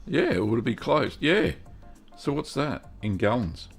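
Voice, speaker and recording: A middle-aged man hosting a casual cheese-making livestream, chatty and upbeat, reading out viewer comments and filling pauses with ums. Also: medium pitch, very animated, faint music behind. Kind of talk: casual live-stream chat.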